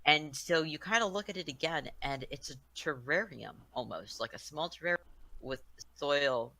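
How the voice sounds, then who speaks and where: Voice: mid-range voice, moderate pace, bright, forceful tone, rough recording. A game master narrating a tabletop roleplaying game in an informal way, addressing the players as their characters.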